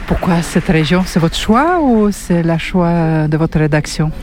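A male interviewer asks short, direct questions in a slightly high voice. His delivery is plain and to the point. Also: expressive, a little slow, a little hiss or hum.

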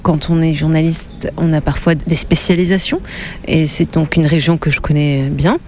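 Female journalist, interviewee; measured, thoughtful delivery with frequent hesitations. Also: deep voice, steady tone, warm tone, slight background noise. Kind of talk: interview answers.

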